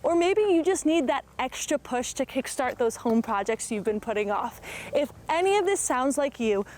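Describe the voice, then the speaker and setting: A woman speaking as the host of a home tour show, friendly and clear in her wording. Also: high voice, lively intonation, moderate pace, darkish tone, a little hiss or hum. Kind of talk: warm conversational interviewing.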